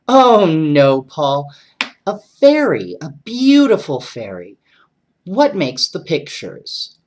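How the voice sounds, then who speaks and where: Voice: medium pitch, huge pitch swings, takes it slowly, heavily acted. A storyteller voicing a dreamy, earnest young girl full of wonder in a bedtime storybook. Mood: insistent wonder.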